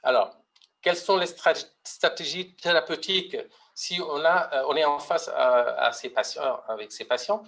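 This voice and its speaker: A man's voice: a little high, moderate pace, gravelly, fairly bright tone. A middle-aged man from Mauritania giving a medical webinar lecture, hesitant, with many fillers and restarts. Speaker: pediatric heart surgeon presenting.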